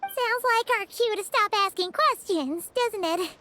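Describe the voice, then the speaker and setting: Small childlike game fairy, very high, swooping delivery, excitable. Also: female voice, a little slow, full character voice. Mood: businesslike, chatty. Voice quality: squeaky, childlike. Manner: exclamatory, animated. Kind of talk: video game character voice acting. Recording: music playing behind.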